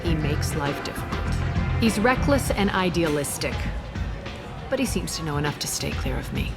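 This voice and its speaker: Game crime queen: cool, imperious, low-pitched, loud music behind her. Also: expressive, normal speed. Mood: cool disdain. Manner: dry, imperious. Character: cool, commanding female crime boss. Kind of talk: video game voice acting.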